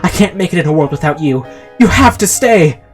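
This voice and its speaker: A man voicing an excitable, earnest factory worker in a storybook tale, exclamatory and easily panicked. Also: a little high, a little fast, soft background music, pitched above the performer's own voice, natural voice. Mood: pleading.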